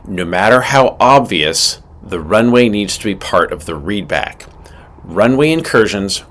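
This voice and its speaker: Low-voiced man with very animated pitch. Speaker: aviation instructor. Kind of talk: scripted instructional narration with acted radio call examples.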